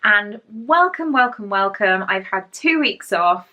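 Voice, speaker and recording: A bubbly woman chats to her viewers as host of her own show, sounding a little croaky from a head cold. Her voice is bright and forceful, and she gushes with excitement about being back. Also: mid-range voice, unhurried, highs cut off. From England. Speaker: podcast host. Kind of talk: casual chat to viewers.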